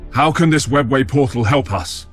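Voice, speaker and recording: An actor playing a resolute, dutiful space navy admiral in a video game, speaking formally and firmly. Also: male voice, mid-range voice, normal speed, fairly bright tone, natural voice. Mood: firm, measured. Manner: formal, firm military speech. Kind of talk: video game cutscene acting. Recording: music underneath.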